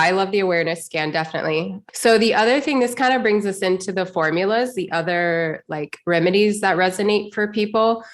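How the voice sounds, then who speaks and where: A woman hosting an interview, sounding nasal and stuffy from a recent cold, chatting casually. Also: fairly low pitch. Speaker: podcast host.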